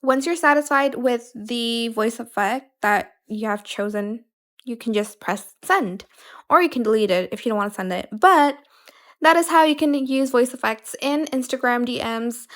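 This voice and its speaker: A woman's voice: a little high, normal speed. A woman narrating a how-to tutorial in a casual, informal way, a little hesitant and correcting herself as she goes. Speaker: tutorial host.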